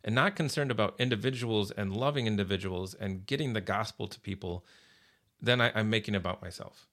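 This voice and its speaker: A man's voice: fairly low pitch, fairly quick, a bit bright. A man speaking as a pastor guest on a podcast, earnest, often repeating words as he gathers his thoughts. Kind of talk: casual religious podcast conversation.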